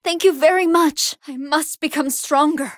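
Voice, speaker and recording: A woman voicing a gentle, polite young female android fighter, reluctant yet determined, in short earnest exclamations for an anime fighting game. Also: sits high, moderate pace, no echo, clean studio sound, close to an everyday voice.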